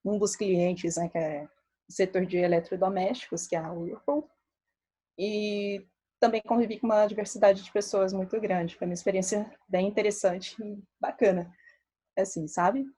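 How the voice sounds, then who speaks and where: A woman in her thirties from Belo Horizonte with a mixed Minas and Rio accent, a statistician giving a relaxed, chatty talk with many fillers. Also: mid-range voice, moderate pace, breathy edge. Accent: Brazilian Portuguese, mixed Minas Gerais and Rio. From Belo Horizonte, Brazil. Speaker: statistician presenting to a meetup. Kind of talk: casual talk.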